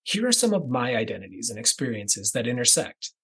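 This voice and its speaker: A man from the American Midwest hosting a podcast, reading a scripted introduction in a measured, deliberate cadence that moves through lists. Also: mid-range voice, very animated, a bit bright, slightly rough. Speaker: podcast host.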